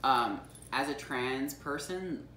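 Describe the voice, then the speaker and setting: A woman's voice: rumbling low, slow, fairly bright tone, a little hiss or hum. A young transgender woman explaining her experience in a casual chat, candid and full of ums. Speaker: guest. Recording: echoey room, mic at a distance, faint ambience.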